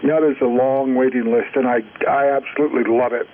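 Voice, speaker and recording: A man's voice: mid-range voice, fairly even intonation, a little fast. A man chatting casually and enthusiastically over two-way radio, with a slangy way of talking. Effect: two-way radio transmission. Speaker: amateur radio operator. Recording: narrow tinny sideband radio, faint static.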